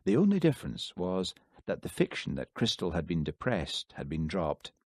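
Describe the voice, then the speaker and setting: A male audiobook narrator who reads a crime novel in a steady, even way. His voice sits low and sounds dry and close to the mic. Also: normal speed, no room sound. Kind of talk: scripted narration.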